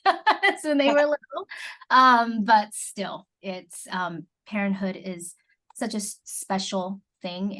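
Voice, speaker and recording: American woman doctor, middle aged, chatting in an interview, normal pitch, says you know a lot. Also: a bit bright. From Southern California, born in Taiwan. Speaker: fertility doctor, interview guest. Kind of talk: conversational interview answers.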